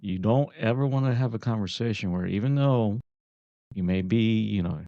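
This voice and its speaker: A man co-hosting a casual advice talk, explaining things deliberately and checking in with his listener, on a slightly muffled recording. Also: lowish voice, mellow, no room sound. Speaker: podcast co-host.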